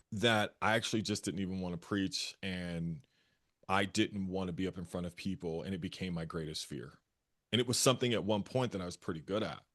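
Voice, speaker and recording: A man with a bass voice, a therapist and guest, earnestly telling his own story and often repeating phrases as he searches for words. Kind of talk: candid personal storytelling.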